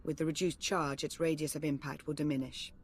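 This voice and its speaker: A woman playing a cool, calculating intelligence chief in a video game cutscene, measured, clipped and dry. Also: deep voice, flat delivery, a little hiss or hum, natural voice. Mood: dry, businesslike.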